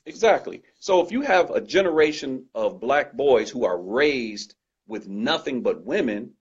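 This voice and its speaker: A man with a loud voice speaking earnestly and emphatically as a guest in a conversational discussion, often repeating his points. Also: medium pitch, lively intonation, a little slow, breathy edge. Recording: dull lo-fi recording.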